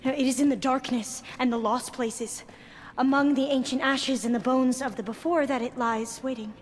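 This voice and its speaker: An actress playing a formal, wary female diviner of a proud tribe in a video game, solemn and hesitant, with archaic phrasing and a fairly high voice. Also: steady tone, normal speed, slight background noise, lightly acted. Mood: reverent, hesitant. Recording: echoing hall, faint background ambience.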